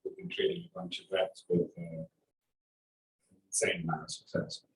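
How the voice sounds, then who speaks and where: Man, low and flat, dry humor, distant echoey sound. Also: normal speed. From Britain. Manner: dry, joking introduction. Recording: echoey room, far from mic.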